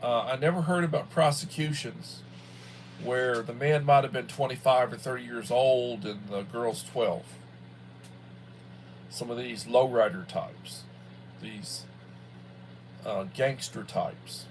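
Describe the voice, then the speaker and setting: A man in his early sixties chatting casually on a livestream, with a halting, folksy manner and a habit of repeating himself. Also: medium pitch, a little hiss or hum, low-quality recording. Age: early 60s. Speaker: livestream host, school worker. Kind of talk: casual rambling livestream chat.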